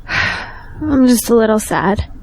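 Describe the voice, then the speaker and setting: A woman plays a polite young family friend in an audio drama. Her voice is fairly high, and she comes across as tender-hearted and sincere. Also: lively intonation, very slow, heavily acted, low-quality recording. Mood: sad.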